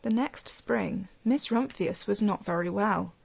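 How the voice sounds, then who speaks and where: A woman teacher reading a storybook aloud to young children, expressively, with gentle questions and asides. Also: mid-range voice, a little slow, gravelly, husky.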